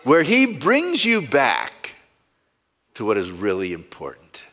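A man's voice: medium pitch, huge pitch swings, slow, pushed and bright. A male pastor preaching a sermon in a conversational way, asking the congregation rhetorical questions.

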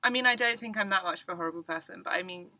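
A woman's voice: mid-range voice, racing, pushed and bright, dry recording. A young woman co-hosting a podcast, chatting casually, giggly and self-mocking with frequent ums. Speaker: podcast co-host. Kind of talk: casual chat.

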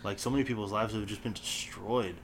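Quick young male co-host, rambling storyteller, faint noise behind. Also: on the low side, steady tone. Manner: rambling, storytelling, lots of fillers. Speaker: podcast co-host. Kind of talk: casual chat between friends.

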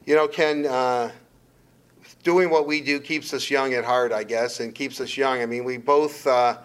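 A middle-aged man from Detroit, hosting a casual sports interview in a wordy, halting way. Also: medium pitch, steady tone, a bit bright, smooth. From Detroit, Michigan. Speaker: sports show host, interviewer.

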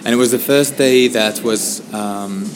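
A young man from Syria with a Syrian Arabic accent, a native Arabic speaker talking in English as a journalist guest, measured and hesitant with many pauses. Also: mid-range voice, background noise. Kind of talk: conversational interview.

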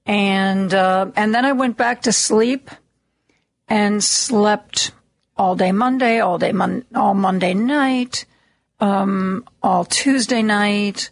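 A woman hosting a radio talk show in a studio, with a slightly raspy, hoarse voice and a chatty, self-deprecating way of talking full of ums and restarts. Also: mid-range voice, takes it slowly, no echo. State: a little hoarse, lingering cough. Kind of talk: casual on-air monologue. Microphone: studio microphone.

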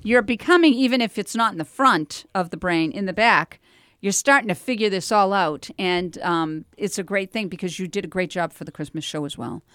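A woman's voice: mid-range voice, brisk, a bit bright, no room sound, studio quality. A woman hosting a chatty local radio show, talking informally in an effusive, exclaiming, encouraging way. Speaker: radio talk show host. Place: radio studio.

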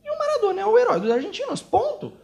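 A Brazilian man with a Brazilian Portuguese accent hosts a missionary talk show, making his points with emphasis and frequent laughter. His voice sits high and his words come out quickly. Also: gravelly, slight background noise. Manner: animated, emphatic, laughs often. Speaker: missionary host.

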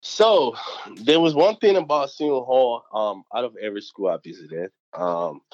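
A young man from Nigeria, whose first language is not English, telling stories as a student athlete in a casual interview, chatty and animated, with many fillers. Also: medium pitch, normal speed, no room sound, lo-fi sound.

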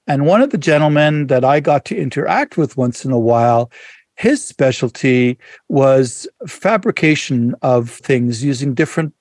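Middle-aged male host, slightly high voice, dry close mic, easygoing storyteller. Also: a little mellow, dry recording. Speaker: podcast host. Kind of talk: conversational interview.

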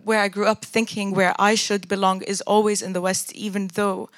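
A woman's voice: medium pitch, level tone, moderate pace, a bit bright, no room sound. Earnest young woman from Jordan. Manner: earnest, candid storytelling. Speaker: panel guest. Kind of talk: personal reflective discussion.

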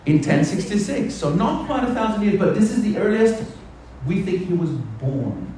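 A man preaching a sermon to his congregation, throwing rhetorical questions at them and shifting between quick asides and slow, low, weighty phrases. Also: very animated, dark tone, echoey room, a little hiss or hum, rough recording. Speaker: pastor.

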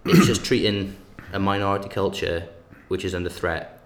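A man's voice: low voice, fairly even intonation, moderate pace. A man in casual academic discussion, talking with many restarts and emphatic bursts.

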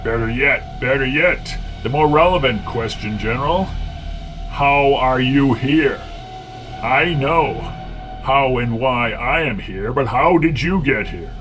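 An ancient tyrant, cold and vengeful. He talks slowly and menacingly in a radio drama. Music plays clearly under his lines. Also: mid-range voice, animated. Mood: commanding. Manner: slow, menacing, commanding.

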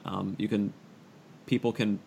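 A man hosting a podcast in casual discussion, halting and self-deprecating, repeating phrases as he works out his thought. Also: fairly low pitch, fairly even intonation, vocal fry, warm tone, a little hiss or hum. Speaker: podcast host.

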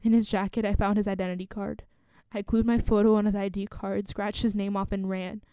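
A woman acting in a radio play as a guarded genocide survivor and refugee, speaking in a measured, grave and earnest way. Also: mid-range voice, little pitch movement, mellow, clean tone, no echo, lightly acted. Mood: grave, haunted.

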